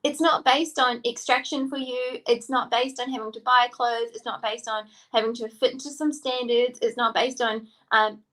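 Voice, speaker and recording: A woman's voice: fairly high pitch, moderate pace, hardly any pauses. A woman in her thirties with a New Zealand accent, a stylist co-hosting a podcast and chatting eagerly with many "like" fillers. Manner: energetic, enthusiastic, lots of "like" fillers. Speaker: stylist and co-host. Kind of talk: casual podcast chat.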